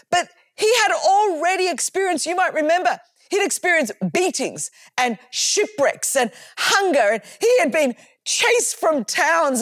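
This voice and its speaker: Australian woman preacher, high-pitched, emphatic and chatty, dry close sound. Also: dry recording. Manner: animated and emphatic, with conversational asides and repeated words. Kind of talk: sermon.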